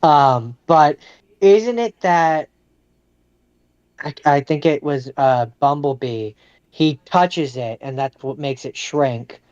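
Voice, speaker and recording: A man's voice: fairly high pitch, takes it slowly, darkish tone, clear, resonant tone, no echo. A man co-hosting a podcast in casual film debate, hedging but politely persistent, on a dry, slightly dull recording. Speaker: podcast co-host.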